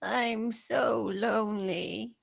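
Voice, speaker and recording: A woman playing a timid, lonely old ship's masseuse with a croaky voice in a comedy table read. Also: a little high, fairly even intonation, dry recording, heavily acted. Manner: timid, halting.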